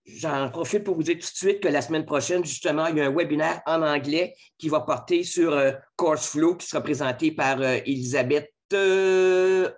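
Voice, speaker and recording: A man's voice: fairly high pitch, normal speed, few pauses. A man with a Québécois accent, moderating a webinar and introducing the speaker, hesitant and groping for words. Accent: Québécois French. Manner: hesitant, forgetful.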